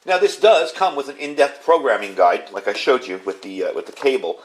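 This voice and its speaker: Male product reviewer, medium pitch, casual hands-on demo delivery, enthusiastic and informal explainer. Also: big pitch swings, fairly quick. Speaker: ham radio product reviewer.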